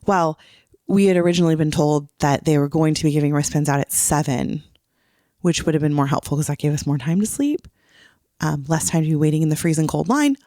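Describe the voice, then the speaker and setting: A woman's voice: sits low, expressive, a little fast, mellow, no echo. Woman podcaster chatting, close dry sound. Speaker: podcast co-host. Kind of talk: casual chat, recounting a trip.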